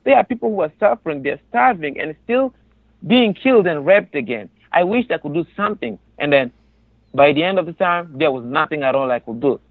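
A man's voice: a little high, animated, a little fast, no echo. A man with a Congolese accent giving earnest personal testimony in an interview, emphatic and often repeating his phrases. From Democratic Republic of the Congo, raised in a refugee camp in Tanzania. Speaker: interview guest, resettled refugee. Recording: narrow, phone-like sound.

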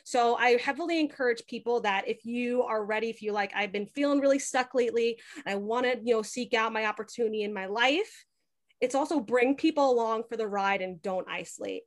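Young woman, high voice, casual podcast guest, talkative, conversational storytelling, lots of filler "like". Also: a little fast. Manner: chatty, animated storytelling with frequent "like" and quoted dialogue. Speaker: podcast host being interviewed.

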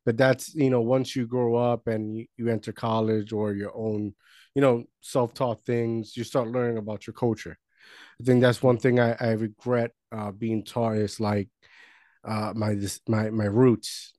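A man who grew up in Puerto Rico, hosting an interview in an enthusiastic but halting way, with many restarts. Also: medium pitch, little pitch movement, warm tone, no echo, clean studio sound. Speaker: host, interviewer. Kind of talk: casual interview chat.

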